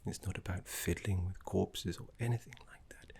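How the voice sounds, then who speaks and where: A man voicing a gentle, scholarly necromancy teacher in an ASMR roleplay, soft, breathy and half-whispered, with soothing, genteel phrasing. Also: low-pitched, steady tone, normal speed, heavily acted. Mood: reverent, hushed. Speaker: teacher guiding a student.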